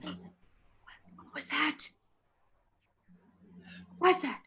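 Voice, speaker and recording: A woman performs a frightened, imperious housewife in a melodramatic radio thriller. Her voice sits extremely high, and she is nervous and self-pitying, insisting on being obeyed. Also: extremely slow, very noisy, full character voice. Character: panicky, imperious, self-pitying invalid wife alone at night. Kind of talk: suspense radio play acting.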